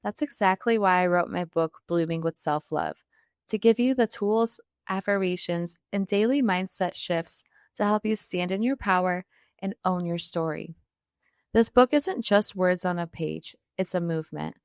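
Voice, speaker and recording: A woman's voice: lowish voice, moderate pace, clean tone, no room sound, studio quality. A woman hosting a podcast with an upbeat, affirming delivery. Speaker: podcast host. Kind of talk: podcast hosting and scripted promo.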